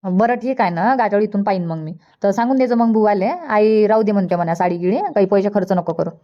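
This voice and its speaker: A woman's voice: medium pitch, fast talker, close to an everyday voice. A woman voicing a thrifty, practical older village mother in a cartoon comedy, persistently advising in Vidarbha Marathi. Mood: firm, practical. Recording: dull lo-fi cartoon dub.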